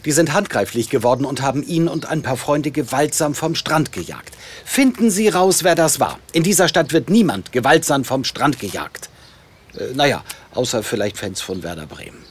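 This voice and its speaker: A man's voice: mid-range voice, very animated, normal speed, breathy edge. A man playing a stern, bossy police director in a comedic radio crime play, commanding and clipped.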